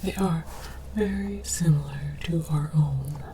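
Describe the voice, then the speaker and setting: A woman whispering breathily in a hushed, mock-scholarly ASMR roleplay as a calm, curious alien archaeologist presenting objects. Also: low voice, measured pace, background noise. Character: calm, curious alien archaeologist researcher studying human relics.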